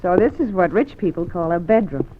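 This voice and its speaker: A woman puts over a brassy, wide-eyed dame with very lively swings of pitch. She is slangy, naive and chatty in old radio thriller manner. The sound is dull and vintage, with faint noise. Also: brisk, close to an everyday voice. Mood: brassy, impressed. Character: brassy, naive working-class girlfriend, easily impressed. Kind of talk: 1940s-50s radio drama acting.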